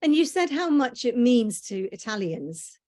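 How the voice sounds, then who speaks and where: A woman's voice: a little high, very animated, unhurried, a little mellow. A woman interviewer who asks engaged, enthusiastic questions of an author.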